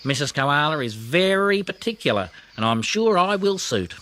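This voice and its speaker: A pert storybook magpie, a man putting her on, talks high and brisk. She is a bossy, self-assured mother bird who is easily cross. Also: very animated, pushed and bright, slight background noise. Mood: confident.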